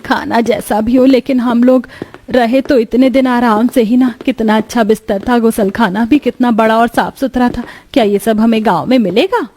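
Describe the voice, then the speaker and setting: An elderly, hard-to-please mother-in-law from a cartoon moral story played by a woman. Her voice sits fairly high with a very soft, mellow tone, and her manner is complaining. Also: fairly even intonation, normal speed. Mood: defensive, wistful.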